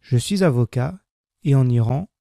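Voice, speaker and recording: A man reading a first-person French text slowly and clearly for learners, repeating each sentence. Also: medium pitch, animated, warm tone, no echo, clean studio sound. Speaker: French language teacher. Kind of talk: slow scripted reading for learners.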